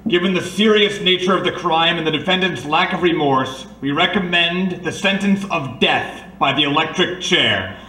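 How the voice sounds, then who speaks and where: A man's voice: on the high side, moderate pace, few pauses, sharp tone, reverberant, far from the mic, a little hiss or hum, low-quality recording. A man playing a solemn, formal jury foreman in a courtroom radio drama.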